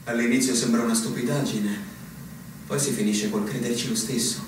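A man's voice: medium pitch, fairly even intonation, moderate pace, close to an everyday voice. Guy doing an older captain in a radio play, weary and wistful, dramatic swells, distant noisy hall sound. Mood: wistful, resigned. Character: older male army captain, resigned and wistful. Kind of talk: theatrical radio drama acting.

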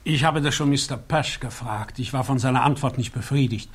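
An actor playing a modest, thoughtful male panel member in a radio play, husky, reflective and polite. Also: medium pitch, brisk, close to an everyday voice. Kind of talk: German radio play acting.

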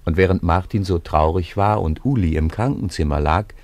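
A middle-aged man calmly narrating a radio drama in a studio, with measured, gently solemn delivery. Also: deep voice. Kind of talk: scripted storybook narration.

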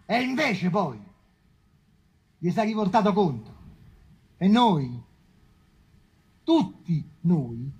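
A woman's voice: on the low side, sing-song, slow, long pauses, darkish tone, slightly rough, full character voice. A woman playing a brash, devout Roman commoner in colloquial stage dialogue, with a Roman accent. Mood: earnest. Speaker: stage actor.

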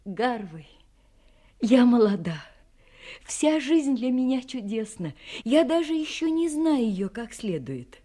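An actress playing a serious, frank young woman in love in an audio drama, speaking in a halting, earnest, sad manner that sinks to a near-whisper. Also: on the high side, big pitch swings, dark tone, full character voice. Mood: quiet despair. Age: young adult.

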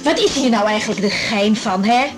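An actress playing a brisk young woman doctor in a radio drama, exasperated but dutiful. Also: mid-range voice, over music, lightly acted. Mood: weary, irritated. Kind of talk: Dutch 1980s radio drama acting.

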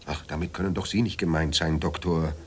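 A man's voice: extremely low pitch, steady tone, brisk. An actor in a radio drama playing a weary, ironic, hard-drinking male writer, theatrical and wryly courteous. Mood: weary, melancholic. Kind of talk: German radio drama acting.

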